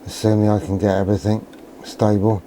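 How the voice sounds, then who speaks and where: A man's voice: lowish voice, little pitch movement, fairly quick, dark tone, slight background noise, rough recording. A man thinking aloud in an understated, musing way as he gives a casual hands-on electronics demonstration, often putting questions to himself. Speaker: electronics hobbyist presenter.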